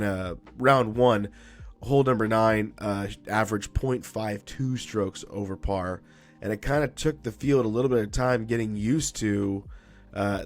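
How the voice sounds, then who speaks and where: Upbeat man hosting a sports podcast. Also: on the low side. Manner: chatty and upbeat, with frequent fillers and restarts. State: slightly raspy, deeper from allergies. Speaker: podcast host. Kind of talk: solo sports recap podcast.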